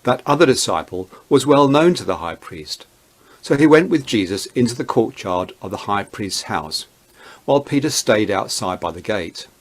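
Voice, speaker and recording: Man narrating scripture with lively pitch. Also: moderate pace. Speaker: narrator, Bible reader.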